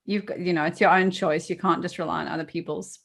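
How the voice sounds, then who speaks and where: A woman's voice: on the low side, fairly even intonation, fast, vocal fry, a bit bright. A woman from South Africa with a South African accent, hosting a podcast in casual, chatty conversation with many asides. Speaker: podcast host.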